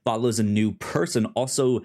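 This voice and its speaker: A man co-hosting a casual review podcast, talking haltingly and often repeating the starts of his words. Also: mid-range voice, darkish tone. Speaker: podcast co-host.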